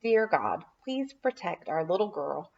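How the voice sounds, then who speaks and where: Woman reading as a gentle storybook mother, expressive. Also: fairly low pitch, a little slow, creaky, slightly rough, dull audio, exaggerated voice. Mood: prayerful.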